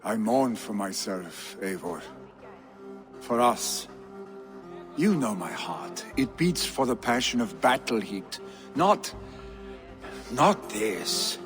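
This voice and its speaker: An actor playing a grieving, battle-hungry Viking jarl's son and reluctant heir in a video game, with declamatory delivery. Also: male voice, lowish voice, big pitch swings, slow pace, pauses often, music in the background. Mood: troubled. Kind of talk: dramatic video game acting.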